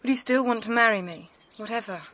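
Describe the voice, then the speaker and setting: A woman's voice: fairly high pitch, fast, a bit bright, poor audio. A woman playing a guarded, fearful but frank young woman in a radio drama, in an intimate manner. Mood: uneasy, frank. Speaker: actor.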